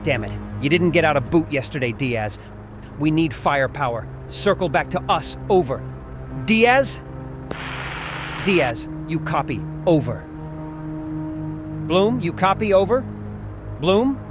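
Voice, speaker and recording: Male actor, tough sergeant for war-horror audio comic: higher-set voice, lively swings, clipped orders, prominent music. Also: unhurried, long pauses. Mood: urgent, commanding. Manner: barked, clipped military commands. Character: tough, terse male army sergeant under fire. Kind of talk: audio comic dub acting.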